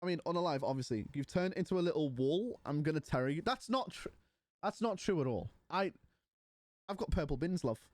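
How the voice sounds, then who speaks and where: A man's voice: on the high side, animated, a little fast, a little mellow, dry recording. A man, casually chatting and bantering with his livestream chat. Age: 20s. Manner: banters with chat, mocking and playful. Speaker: streamer.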